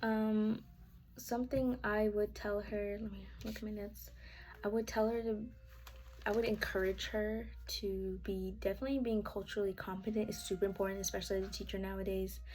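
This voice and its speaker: A woman's voice: mid-range voice, level tone, brisk, darkish tone, rough recording. A young woman whose first language is Spanish, giving an informal class presentation from her notes, casual with fillers and self-corrections. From United States. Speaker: student.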